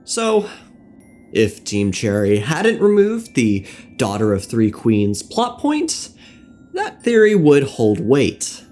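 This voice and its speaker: A man narrating a scripted video essay on game lore, with wry, deadpan asides. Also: medium pitch, takes it slowly, slight background noise. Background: music.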